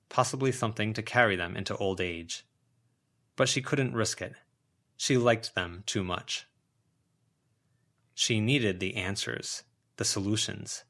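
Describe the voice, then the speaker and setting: A male audiobook narrator with a fairly low voice. He reads scripted fiction as a storyteller. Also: fairly bright tone.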